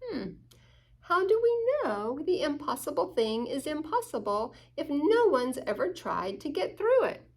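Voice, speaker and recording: A high-voiced, curious little dog from a storybook, acted out by a woman. He asks politely and thoughtfully, with very swooping intonation and hopeful determination. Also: sing-song, measured pace, exaggerated voice. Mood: wondering.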